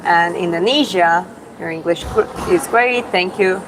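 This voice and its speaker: A woman from Georgia, hosting a casual livestream Q&A and answering chat in an unhurried, thoughtful way with many fillers and restarts. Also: on the low side, noisy background. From Georgia (country). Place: public spot in Da Nang with other people around.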